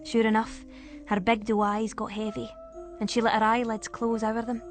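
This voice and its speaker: A woman with a Scottish accent narrating a first-person ghost story in a suspenseful, expressive way. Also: on the high side, moderate pace, over music.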